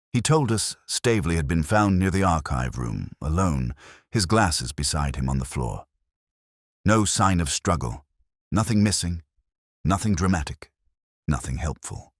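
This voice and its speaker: A melancholy police detective, acted by a man, recounts his memories for an audio drama. The voice is extremely low and the sound is dry. The delivery is measured, literary and brooding, turned inward in reflection. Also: no room sound, exaggerated voice. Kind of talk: slow literary first-person audio drama narration.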